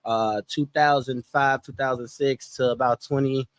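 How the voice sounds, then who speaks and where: A man in his thirties, a singer, telling his story in a relaxed, casual way and often adding "you know what I'm saying". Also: mid-range voice, moderate pace, clear, resonant tone, no room sound. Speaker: R&B singer, podcast guest. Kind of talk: casual interview chat.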